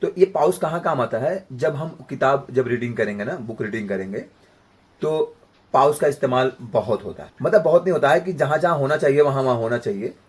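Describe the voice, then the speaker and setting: A man's voice: mid-range voice, fairly quick, husky. A man giving an informal voice practice lesson, explaining with everyday examples and checking in with the listener. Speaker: voice practice coach. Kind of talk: informal instructional talk with demonstrations.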